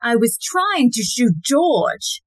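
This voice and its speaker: A woman's voice: on the high side, sing-song, slow pace, no room sound, heavily acted. A woman playing a cold, menacing, commanding society wife turned murderer in an audio play.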